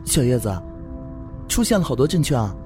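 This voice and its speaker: A man's voice: mid-range voice, very animated, a little fast, music in the background, lightly acted. An actor playing a playful, curious and eager young man in a Chinese fantasy radio drama. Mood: uneasy.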